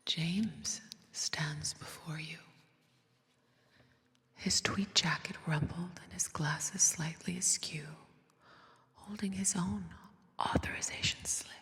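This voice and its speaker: Female ASMR storyteller, crisp bright whisper, deliberate scripted narration with dramatic pauses, close binaural mic. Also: lowish voice, steady tone, in a large hall. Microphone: close binaural microphone.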